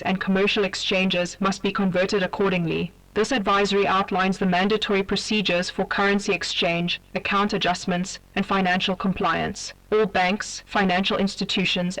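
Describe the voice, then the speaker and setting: An announcer reading a scripted public advisory in an official, impersonal and measured manner. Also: mid-range voice, a bit bright, recorded from a distance, low-quality recording. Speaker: emergency broadcast announcer.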